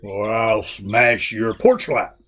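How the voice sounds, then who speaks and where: A man's voice: fairly low pitch, takes it slowly, a bit bright. A man voicing a giant hairy monster with a growly, threatening, folksy way of talking. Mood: menacing. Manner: folksy rural phrasing, threatening.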